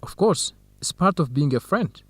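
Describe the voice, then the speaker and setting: An actor playing an earnest, modest young Ethiopian research partner and interpreter in an educational radio drama. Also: male voice, on the high side, expressive, normal speed, slightly rough, dry recording. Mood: friendly, earnest.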